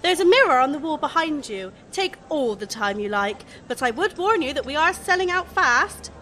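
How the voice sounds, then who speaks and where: A woman's voice: high voice, very animated, moderate pace, bright, forceful tone, a little hiss or hum, full character voice. An actress playing an eager, chatty young female shop assistant in an amateur radio comedy. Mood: chirpy, busy. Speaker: actor in amateur radio play.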